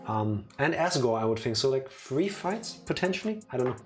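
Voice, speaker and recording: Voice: mid-range voice, big pitch swings, normal speed. A streamer chatting casually and teasingly while commentating on a game live. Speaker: streamer playing and reading out a game. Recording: music behind the voice. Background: music.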